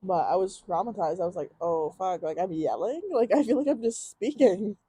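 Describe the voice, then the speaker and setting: A young woman co-hosting a podcast, chatting casually with a friend, slang-heavy and quick to laugh. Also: medium pitch, breathy edge. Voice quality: loud projecting voice. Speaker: podcast co-host. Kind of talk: casual chat.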